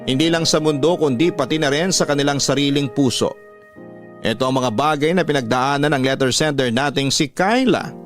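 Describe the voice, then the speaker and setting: A man hosting a radio story show, reading a listener's letter as dramatic scripted narration. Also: on the high side. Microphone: close studio microphone, dry. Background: soft music.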